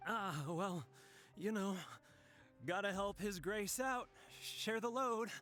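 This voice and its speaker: A man's voice: sits high, takes it slowly, pushed and bright, faint music behind, exaggerated voice. A man voicing a shifty, nervous guard in a video game, hesitant and stammering as he bluffs. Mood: nervous bluffing.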